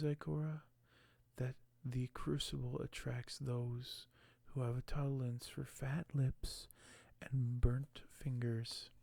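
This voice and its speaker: Male ASMR creator, hushed and whispery, crackly low-energy fry, very dry close-mic sound, slow halting reading. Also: no room sound. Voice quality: hushed, soft, often whispered. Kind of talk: ASMR unboxing and reading aloud. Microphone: close microphone.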